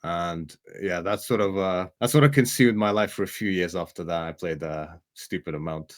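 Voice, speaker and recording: A man in his twenties from Romania, a game caster, talking in a relaxed, rambling way with many restarts. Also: low-pitched, moderate pace. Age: 20s. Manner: relaxed, rambling, frequent restarts and "right?" tags. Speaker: interview guest, game caster and player. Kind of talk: casual interview chat.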